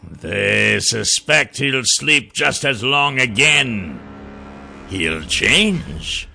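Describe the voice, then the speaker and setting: A slow, gravelly, intense sorcerer. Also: male voice, medium pitch, pushed and bright, pitched above the performer's own voice, heavily acted. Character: bald tattooed sorcerer servant, strong-voiced, scheming and deferential.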